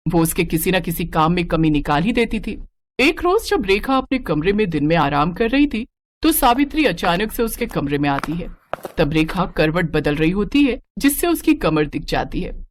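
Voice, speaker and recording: A woman narrating a scripted moral story in an expressive storytelling style. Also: fairly low pitch, normal speed.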